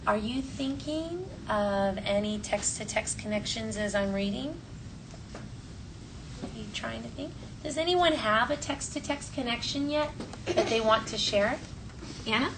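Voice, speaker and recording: A woman teacher reading a storybook aloud to her class and prompting the children in clear, instructional phrases. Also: a little high, a little slow. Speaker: elementary school teacher. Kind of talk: classroom read-aloud and questioning. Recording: distant mic, faint classroom noise.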